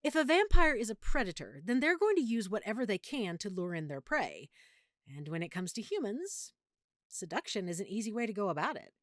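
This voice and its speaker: A woman playing an affectionate, nerdy, opinionated girlfriend in an intimate audio roleplay, talking in a playful, expressive, slightly fussy way. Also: mid-range voice, fairly quick, no echo, studio quality, lightly acted. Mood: animated, opinionated. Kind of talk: audio roleplay, intimate one-sided conversation.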